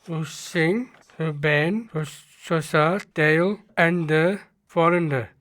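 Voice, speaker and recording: A woman reading out interview questions carefully and haltingly. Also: sits low, no room sound, clean studio sound.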